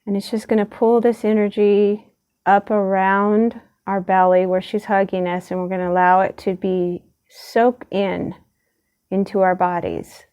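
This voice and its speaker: A woman leading a gentle guided meditation in a soothing, unhurried way, leaving long pauses between short phrases. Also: medium pitch, flat delivery, clear, resonant tone.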